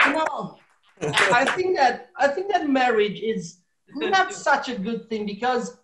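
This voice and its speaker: A middle-aged man from Romania with a Romanian accent, doing deadpan stand-up comedy, chuckling at his own jokes, recorded on a webcam in a small room at home. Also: extremely high pitch. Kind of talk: deadpan stand-up comedy and comic song at piano. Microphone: webcam at desk distance. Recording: roomy echo, piano behind.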